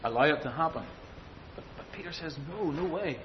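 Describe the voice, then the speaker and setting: A man preaching an expository sermon, speaking with emphatic, deliberate phrasing, rhetorical questions and repetition. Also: expressive. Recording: dull lo-fi sound, faint rumbling noise.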